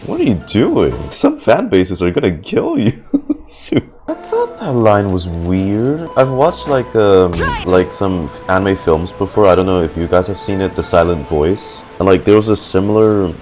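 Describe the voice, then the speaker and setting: A man giving casual, halting live reaction commentary as he streams a video game, musing out loud. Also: deep voice, very animated. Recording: game music in the background.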